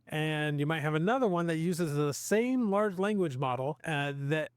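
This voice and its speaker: A man with a slightly high voice presents a tutorial in a dry-sounding recording. He explains step by step, plainly and methodically, as an instructional presenter. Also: expressive, moderate pace, clean tone, no echo.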